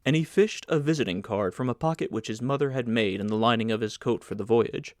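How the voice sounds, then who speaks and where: A man's voice: medium pitch, a little fast, a little mellow, no echo. Male audiobook narrator, dry sound. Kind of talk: scripted literary narration.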